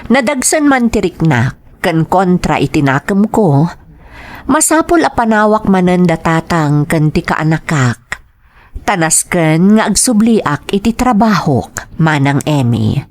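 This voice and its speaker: A woman's voice: on the low side, big pitch swings, unhurried. A woman acting an anguished, devoted daughter and girlfriend in an audio drama, speaking emotionally and pleadingly with an Ilocano accent. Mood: sombre narration. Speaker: lead actor and first-person narrator.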